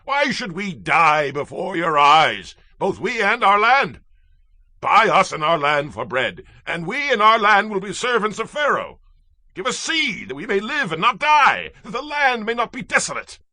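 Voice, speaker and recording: A starving Egyptian petitioner in an audio Bible drama. His voice is high and extremely sharp, swinging widely as he implores his lord. The delivery is urgent and declamatory. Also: big pitch swings, measured pace, no room sound, heavily acted. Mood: pleading. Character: starving Egyptian man pleading desperately with a lord. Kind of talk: dramatized scripture acting.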